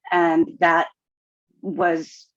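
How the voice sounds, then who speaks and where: A woman's voice: on the low side, monotone, extremely slow, dry recording. A woman chatting casually as a podcast guest, wry and often interrupting herself. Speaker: writing course graduate, podcast guest. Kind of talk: casual chat. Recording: dull, dry lo-fi microphone.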